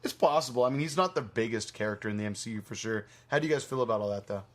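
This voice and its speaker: A man's voice: mid-range voice, animated, racing, fairly bright tone. Guy hosting a casual movie podcast, medium voice, rambles a bit and swears. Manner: rambling, foul-mouthed. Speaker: podcast host.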